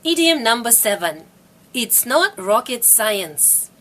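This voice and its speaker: A middle-aged woman teaches English to learners. Her voice sits a little high and rises and falls a great deal. She speaks slowly and repeats each phrase clearly. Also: big pitch swings, a bit bright. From Russia. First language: Russian. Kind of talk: slow, careful video lesson.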